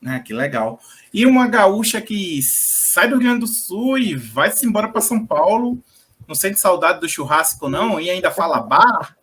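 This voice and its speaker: A man's voice: high-pitched, lively intonation, normal speed, fairly bright tone. A man hosting a casual interview, upbeat and playfully teasing his guest. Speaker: interview host.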